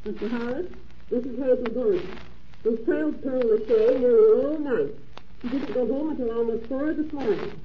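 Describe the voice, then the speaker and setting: A woman's voice: fairly high pitch, moderate pace, lightly acted. A woman playing a dutiful, matter-of-fact private investigator in a 1930s radio drama. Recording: dull, faintly noisy 1930s radio recording.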